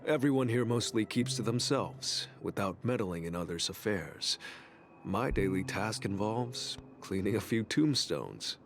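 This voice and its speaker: A man voicing a polite, mysterious young man who tends graves in a game, courteous and softly formal, with game music behind. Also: lowish voice, lively intonation, unhurried, noisy background. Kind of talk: game voice acting. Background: game background music.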